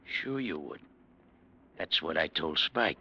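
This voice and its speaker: An actor playing a menacing male gangster boss who threatens quietly in a 1940s radio drama, on a muffled old recording. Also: rumbling low, expressive, slow pace, gravelly, slightly rough, full character voice.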